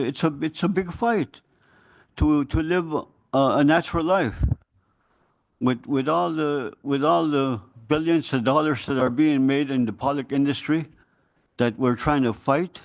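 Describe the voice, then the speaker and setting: A man in his sixties from the Middle Yukon in Alaska, giving earnest public testimony with halting restarts that turns urgent and pleading. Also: fairly high pitch. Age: 60s.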